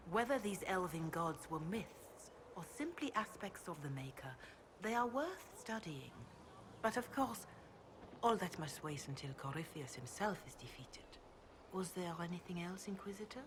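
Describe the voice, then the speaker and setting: Composed, low-voiced priestess played by a woman. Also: lively intonation, background noise, natural voice. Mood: serene. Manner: formal, measured. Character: composed, devout, dignified chantry priestess. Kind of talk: video game character dialogue.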